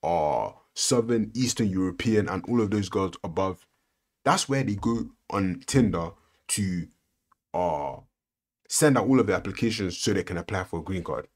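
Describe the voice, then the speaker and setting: Deep-voiced man with swooping, sarcastic delivery. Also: animated, normal speed. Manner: sarcastic, rhetorical, lecturing. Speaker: reaction video host. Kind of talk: mocking reaction commentary.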